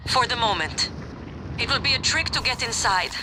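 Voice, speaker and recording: A woman's voice: a little high, animated, normal speed, background noise, natural voice. An actress playing a practical, guarded woman security chief in a video game, speaking in terse, matter-of-fact sentences.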